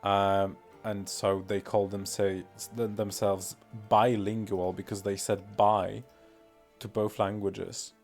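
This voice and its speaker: A man's voice: fairly low pitch, flat delivery, takes it slowly, faint music behind. A man with a Polish accent, a native Polish speaker, streaming casually and rambling with many fillers and self-deprecating remarks. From Poland. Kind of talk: casual live-stream commentary.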